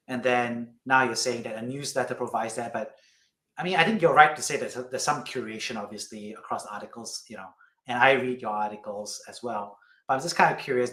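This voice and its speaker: A man's voice: mid-range voice, a bit bright. Man hosting in a roomy, echoing space. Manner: probing, frequent "you know" and "right?" tags. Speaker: podcast host. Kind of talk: conversational interview questioning.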